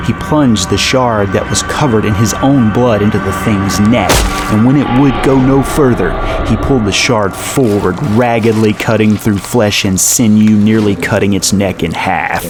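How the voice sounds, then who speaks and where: A man with a fairly low voice narrates a horror audio drama. His delivery is measured and dramatic, and music plays clearly behind him. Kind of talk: scripted horror story narration.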